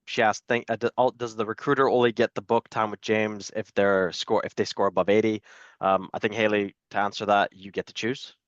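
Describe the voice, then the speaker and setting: A man demonstrating software and answering questions, explaining informally with some hesitation. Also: lowish voice, no echo. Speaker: product demonstrator. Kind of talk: software demo and Q&A. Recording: dry, slightly dull audio.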